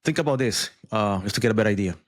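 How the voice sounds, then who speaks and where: A man's voice: lowish voice, very fast. A man giving casual news commentary, conversational, with frequent asides and repeated words.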